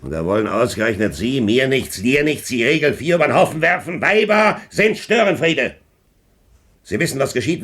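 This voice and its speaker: An actor playing a stern, menacing pirate captain in a radio play, commanding and threatening with a husky voice. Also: male voice, medium pitch, a bit bright.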